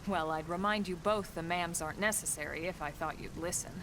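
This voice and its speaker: Actress as female game colonel, lowish pitch, composed, brisk, informal, rumbling noise behind. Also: close to an everyday voice. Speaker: military colonel. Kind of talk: video game cutscene dialogue. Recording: game audio over rumbling background noise.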